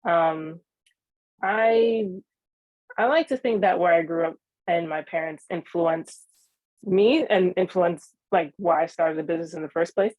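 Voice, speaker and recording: Earnest eighteen-year-old woman, low-pitched. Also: unhurried, darkish tone, dry recording, lo-fi sound. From New York (Queens). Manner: earnest, with frequent ums and restarts. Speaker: young entrepreneur guest. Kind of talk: interview answers.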